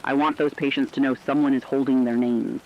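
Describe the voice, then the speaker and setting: A man playing a weary, compassionate veteran radio journalist, delivering a scripted monologue with solemn, earnest, deliberate phrasing over a dull radio sound. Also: medium pitch, flat delivery, natural voice. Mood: grave, resolute.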